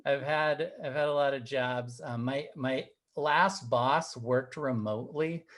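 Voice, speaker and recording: A man's voice: mid-range voice, a little slow, fairly bright tone. A young man doing a stand-up set over a video call, firing off punchy, self-deprecating one-liners. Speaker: comedian and host.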